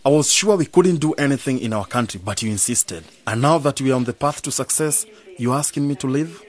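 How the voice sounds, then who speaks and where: An actor playing a stubborn, devoted village man in a radio drama, with a husky voice. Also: mid-range voice, a little fast, natural voice. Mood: firm, affectionate.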